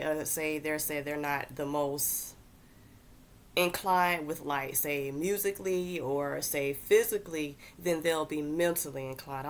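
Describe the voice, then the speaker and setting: A congested woman hosting a casual, unscripted astrology explainer, halting as she searches for words and repeats herself. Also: low-pitched, a bit bright. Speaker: astrology content host.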